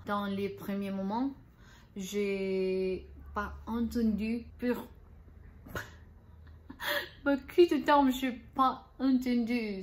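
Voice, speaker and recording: Slow, halting woman with a Spanish accent. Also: on the high side. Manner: halting, searching for words, self-correcting; laughs at her own mistakes. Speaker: language-learning vlogger. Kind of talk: unscripted learner's update vlog.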